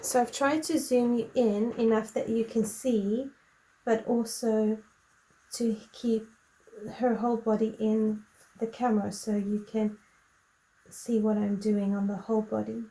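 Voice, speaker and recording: A woman hosting a colored-pencil tutorial in a relaxed, chatty way, her voice croaky from a lingering cough. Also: fairly high pitch, rough recording. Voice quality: slow, soft-spoken and gentle.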